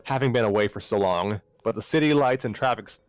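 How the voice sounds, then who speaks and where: Smooth low-voiced man reading a story. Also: quick pace, dry recording, faint music behind. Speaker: amateur story reader. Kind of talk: reading a story aloud, narration.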